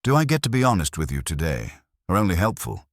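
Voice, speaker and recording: A man voicing a calm, precise spice merchant in a detective story, measured and exacting. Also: sits low, dry recording, clean studio sound, pitched above the performer's own voice, heavily acted.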